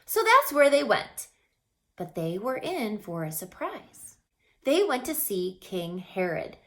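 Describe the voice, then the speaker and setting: A woman reading a children's story aloud with dramatic expression, stretching words and dropping into hushed passages. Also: fairly high pitch, very animated, slow. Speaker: storybook reader.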